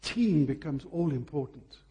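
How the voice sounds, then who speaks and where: A man's voice: on the high side, animated, measured pace, warm tone, slightly rough, muffled recording. A man preaching a sermon, phrasing emphatically and repeating key words for effect.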